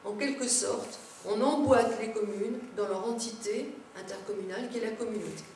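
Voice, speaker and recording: A woman's voice: mid-range voice, moderate pace, darkish tone. Female seminar speaker, explanatory with informal asides, heard from off-mic in a heavily echoing space with faint noise. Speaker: conference speaker, local government adviser. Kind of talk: public lecture to elected officials. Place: large echoing indoor seminar room. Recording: very echoey room, distant mic, background noise.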